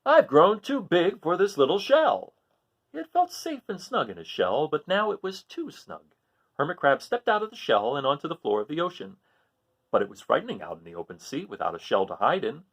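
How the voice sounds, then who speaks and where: Guy reading a kids picture book out loud, really up and down pitch, husky, dramatic emphasis on words. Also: huge pitch swings, fairly quick. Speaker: storybook reader. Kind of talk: expressive children's read-aloud.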